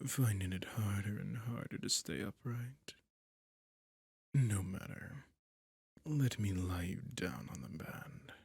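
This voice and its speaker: A man's voice: on the low side, lively intonation, gravelly, darkish tone, breathy edge, no room sound, studio quality, full character voice. A man voicing a whispering sadistic vampire. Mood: sinister seductive. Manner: slow seductive whisper, menacing and commanding. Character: possessive sadistic male vampire lover. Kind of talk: ASMR roleplay.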